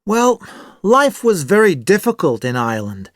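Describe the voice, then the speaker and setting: A man's voice: high voice, big pitch swings, moderate pace, fairly bright tone, dry recording, clean studio sound. A man with a New York accent answering interview questions in a scripted textbook dialogue, easygoing and jokey. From USA.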